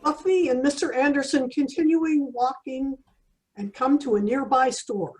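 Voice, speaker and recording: A woman as a radio play announcer, narrating scripted action in a measured storytelling manner. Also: high voice, darkish tone, natural voice, rough recording.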